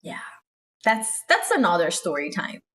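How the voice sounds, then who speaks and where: A woman's voice: fairly low pitch, big pitch swings, unhurried, fairly bright tone. Chatty woman dancer. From Italy. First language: Italian. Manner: chatty, emphatic, adds "okay?" to check in. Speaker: professional dancer and choreographer. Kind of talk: casual talk to camera.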